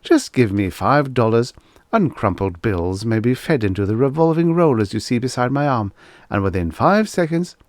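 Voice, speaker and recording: A persistent robot salesman from a science fiction storybook, acted out by a man, pushing its wares with chirpy, emphatic patter. The pitch is lifted and swings in a lively way, in a dry, close sound. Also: moderate pace, no echo, lightly acted. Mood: salesy, upbeat.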